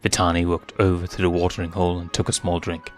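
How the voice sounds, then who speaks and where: A man's voice: low voice, little pitch movement, quick pace. A man reading fan fiction aloud as dramatic scripted story narration. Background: music.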